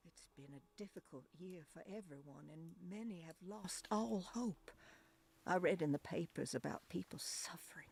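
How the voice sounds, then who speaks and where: An actress voicing a devout 1920s woman reading her prayer diary aloud in a hushed, reverent voice that drifts into whispers. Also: on the low side, normal speed. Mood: hushed prayerful. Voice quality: husky and gravelly. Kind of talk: game voice-over narration.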